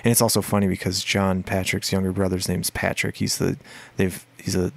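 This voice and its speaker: A man with a deep voice, a musician guest in a casual podcast interview, talking in a rambling way with many fillers and restarts. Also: level tone, brisk, gravelly. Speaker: guest musician, singer and guitarist.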